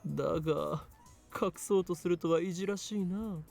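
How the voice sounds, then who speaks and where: A pompous young man in a visual novel, declaiming at great speed as a self-important lab leader. His voice sits fairly high and he is mocking, with faint music behind him. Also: fast talker, vocal fry, darkish tone. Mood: teasing. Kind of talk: Japanese visual novel voice acting.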